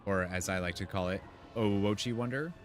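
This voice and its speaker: A man's voice: fairly low pitch, a little fast, faint music behind. A man giving casual, rambling and enthusiastic gameplay commentary as he chats with his viewers. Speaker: video game streamer.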